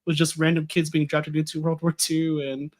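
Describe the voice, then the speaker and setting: A man's voice: fairly high pitch, monotone, moderate pace, a little mellow. An American man in casual conversation, rambling with fillers and restarts, saying he is losing his voice a little. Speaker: podcast guest.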